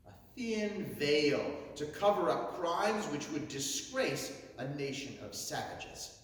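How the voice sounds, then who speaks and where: A man's voice: fairly high pitch, expressive. Man declaiming in a very echoey hall. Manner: declamatory, emphatic, rhetorical, with dramatic pauses. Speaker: orator reciting a historic speech. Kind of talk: theatrical 19th-century abolitionist oration. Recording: very echoey hall, distant from mic.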